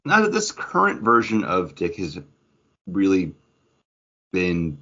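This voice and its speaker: A man's voice: sits low, huge pitch swings, slow, bright, forceful tone, dull audio. A man co-hosting a podcast, casually chatting about comic books and thinking aloud in a rambling way. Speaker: podcast co-host.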